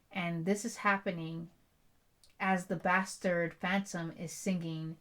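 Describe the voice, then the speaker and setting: A woman's voice: fairly low pitch, a bit bright. A woman playing a stubborn bride-to-be in an intimate ASMR roleplay, talking in a blunt, emphatic, rambling storyteller's way. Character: headstrong, stubborn bride-to-be, werewolf prince's future wife.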